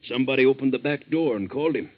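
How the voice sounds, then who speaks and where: An actor playing a blunt, suspicious but loyal fishing-boat captain with plain slangy speech in 1940s radio style. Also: male voice, mid-range voice, racing, lightly acted. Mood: wary. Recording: muffled 1940s radio recording.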